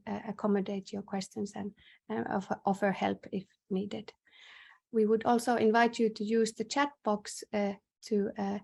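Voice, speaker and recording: A woman with a Finnish accent chairs an online conference session. Her voice sits at a medium pitch. She speaks formally and in a measured way, with hesitant pauses, over a video call. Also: vocal fry, a little mellow. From northern Finland. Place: indoors in a bright room, on a video call. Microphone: video call microphone.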